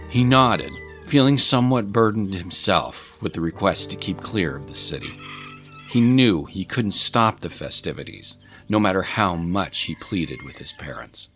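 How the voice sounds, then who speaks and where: Casting: male narrator, deep register, scripted storytelling, music underneath. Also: a bit bright.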